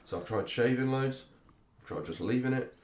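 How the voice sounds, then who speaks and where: A man's voice: medium pitch, animated, moderate pace, darkish tone. A young man co-hosting a casual podcast, firing off teasing, sweary jokes. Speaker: podcast co-host. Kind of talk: casual banter among friends.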